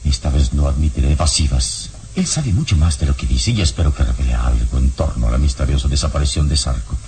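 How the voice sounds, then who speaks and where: An actor playing a grave, commanding heroic investigator in a radio drama, speaking deliberately with dramatic pauses. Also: male voice, very deep voice, lively intonation, darkish tone. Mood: grave, accusing.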